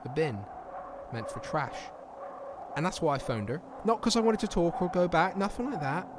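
A man's voice: on the high side, very animated, moderate pace. An actor playing a bitter, plain-talking young homeless man in a naturalistic audio drama monologue. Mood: bitter, resigned. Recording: clear outdoor traffic and street noise.

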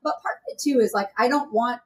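A woman's voice: fairly high pitch, quick pace. A woman in her thirties from Arizona, a surgeon talking candidly in an interview with frequent ums and you-knows. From Arizona, USA. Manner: candid, chatty, with many fillers like um and you know. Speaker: surgeon guest. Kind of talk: casual interview conversation.

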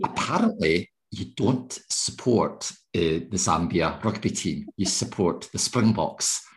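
A man's voice: deep voice, expressive, unhurried, rough recording. A man hosting a casual interview podcast, chatty and teasing, with rambling questions. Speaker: podcast host.

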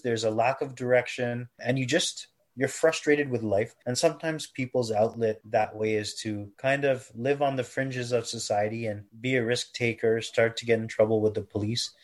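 A man in his twenties from Canada, earnestly and thoughtfully telling his own story in an interview, at times pausing to correct himself. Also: mid-range voice. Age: 20s. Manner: earnest, measured, self-correcting. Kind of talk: reflective personal testimony.

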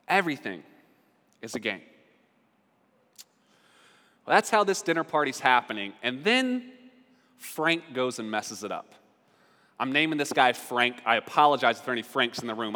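A man preaching a sermon as a pastor, conversational and quick to ask his listeners questions. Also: a little high, pushed and bright. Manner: conversational, asks the audience questions. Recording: long hall reverb.

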